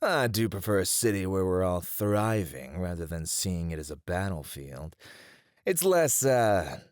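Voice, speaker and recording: Guy doing a flirty mob boss, theatrical and teasing, lowish swooping voice, super dry close sound. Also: no room sound. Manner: teasing, theatrical, smooth-talking. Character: flirty, charming, mischievous male mafia boss. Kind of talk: flirty ASMR roleplay acting.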